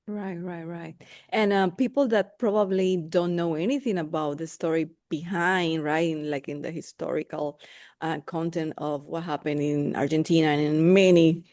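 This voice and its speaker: A woman hosting an interview, asking unhurried questions that she rephrases as she goes. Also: sits low, steady tone, a little mellow.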